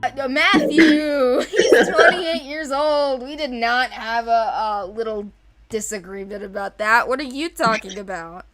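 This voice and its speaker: A woman's voice: a little high, a little slow, a bit bright, faint music behind. A woman chatting casually as a co-host, with a playful, informal manner, talking through fictional characters. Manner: giggly, playful, informal, acting out quotes. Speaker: co-host presenting an animated series project's characters. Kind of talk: casual chat.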